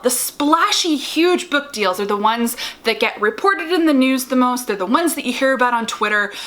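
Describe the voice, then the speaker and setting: A woman's voice: on the high side, sharp tone. A woman vlogger giving opinionated advice to her viewers in an informal, wry, emphatic way, stressing key words. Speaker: YouTube host, writing advice vlogger. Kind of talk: opinionated advice vlog to camera.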